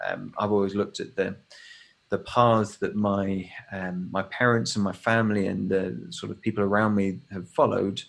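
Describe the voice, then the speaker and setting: Gravelly-voiced English man in his thirties. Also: on the low side, level tone, moderate pace. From England. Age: 30s. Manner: thoughtful, with many fillers and restarts. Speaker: podcast guest. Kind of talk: casual conversation.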